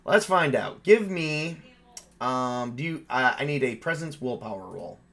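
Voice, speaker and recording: A man's voice: mid-range voice, expressive, a little slow, fairly bright tone. Man casually running a tabletop horror game. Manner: informal, improvising with mild swearing. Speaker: game master and player.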